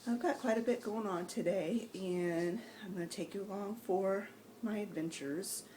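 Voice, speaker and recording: A woman with a raspy, hoarse voice from sinus trouble chats her way through a home vlog, rambling and restarting as she goes. Her pitch sits in an ordinary middle range. Steady background noise and animal sounds come through on the handheld camera. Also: normal speed, echoey room, distant mic, rough recording. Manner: chatty, rambling, frequent ums and restarts. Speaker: vlogger. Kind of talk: casual rambling vlog chat. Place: home and outdoor animal pens. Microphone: handheld camera, self-filmed.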